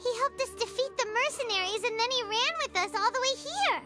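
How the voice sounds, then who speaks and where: A woman voicing a tiny childlike fairy companion in a video game, excitable, exclamatory and squeaky. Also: a little fast. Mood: chatty. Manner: exclamatory, refers to self in third person. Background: game music.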